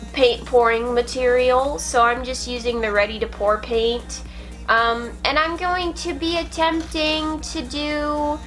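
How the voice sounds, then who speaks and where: A young woman with a high, stuffy, congested voice, narrating her crafting vlog in a chatty, upbeat and self-mocking way. Faint music plays softly under her voice-over. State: congested from a cold. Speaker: YouTube crafts vlogger. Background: faint background music.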